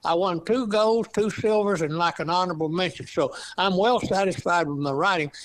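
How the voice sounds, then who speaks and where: An elderly man in his eighties speaks with an East Texas drawl and a voice pitched a touch high. He talks slowly and haltingly, full of fillers and restarts, with a frequent chuckle. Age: 80s. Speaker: guest author. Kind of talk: casual interview chat.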